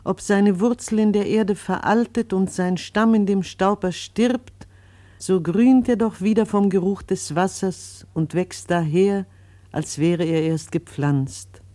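An actress playing a solemn reciter of scripture in a radio play, speaking in a measured way. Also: female voice, mid-range voice, fairly even intonation, warm tone, dry recording, close to an everyday voice.

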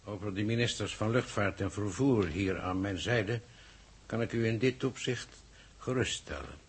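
Unruffled head of government, formal, measured, condescending irony, very husky sound, 1960s radio drama. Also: male voice, lowish voice. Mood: suave, ironic. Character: unruffled, evasive, dryly ironic male head of government.

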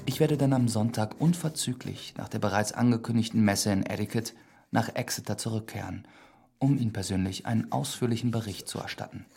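Earnest young priest, gravelly edge. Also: male voice, lowish voice, a little mellow. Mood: dutiful. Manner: formal, measured, humble. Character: earnest, deferential young priest narrating his report. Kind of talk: radio drama letter narration.

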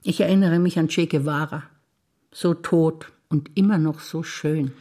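An older woman performing scripted stage dialogue, wry and teasing in quick exchanges. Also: sits low, steady tone, dark tone. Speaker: stage actor.

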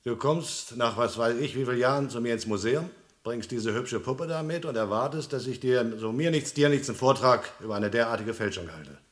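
A man's voice: medium pitch, a little fast, natural voice. A man acting in a vintage crime radio play as a suspicious museum restorer, brusque and sardonic. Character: gruff, suspicious male museum restorer warning a friend. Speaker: radio drama actor.

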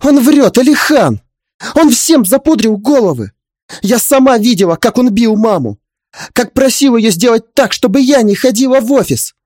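A man's voice: mid-range voice, lively intonation, normal speed, darkish tone, no echo, clean studio sound, close to an everyday voice. A man voicing a young wife in an audiobook drama, indignant and stubborn, pleading in an urgent, emphatic way. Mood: desperate, pleading.

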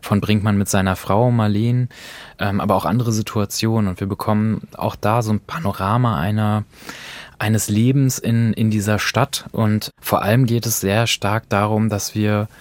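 Tiefe Männerstimme, trocken aufgenommen. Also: male voice, lowish voice, steady tone, normal speed, dry recording. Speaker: podcast host discussing radio drama. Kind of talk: conversational radio discussion.

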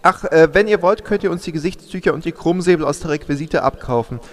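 Casting: man as exasperated production boss, higher-pitched male voice, addressing his crew in a reverberant hall, comedy radio play. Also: fast, lightly acted. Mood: brisk announcing. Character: bossy, harried male film producer winding up a bankrupt production. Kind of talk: German comedy audio drama acting.

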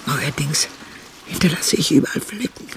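An actress playing an ironic, stubborn old woman in a radio drama, with a husky, breathy voice that often nears a whisper and a dry, deliberate delivery. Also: deep voice. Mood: brooding, dry. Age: older. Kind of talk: radio drama monologue and dialogue.

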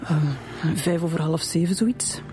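An actress playing a composed, grieving middle-aged widow with a Flemish accent, sorrowful and restrained, in a radio drama. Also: female voice, on the low side, unhurried, mellow, faint music behind, close to an everyday voice. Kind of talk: naturalistic radio drama acting.

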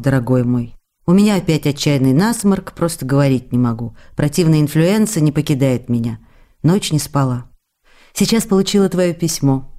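A woman's voice: sits low, dark tone. A woman playing an affectionate young actress, confiding in her absent lover through letters read aloud in a radio play. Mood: fond, weary. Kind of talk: radio play reading of love letters, intimate. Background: faint music.

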